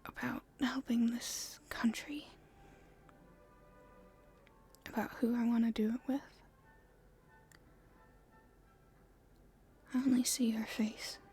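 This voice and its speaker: A woman playing a playful young princess in love, speaking softly and breathily in an intimate ASMR romance roleplay, with gentle, halting delivery. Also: on the high side, flat delivery. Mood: tender, earnest. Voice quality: breathy, whispery, soft.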